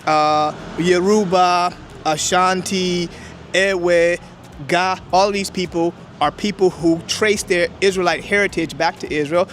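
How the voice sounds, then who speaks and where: A man's voice: sits high, steady tone, unhurried, a bit bright, slight background noise. A man whose first language is Hebrew, explaining history emphatically in an interview outdoors. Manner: emphatic, lecturing, with many "right?" checks. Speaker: interview guest.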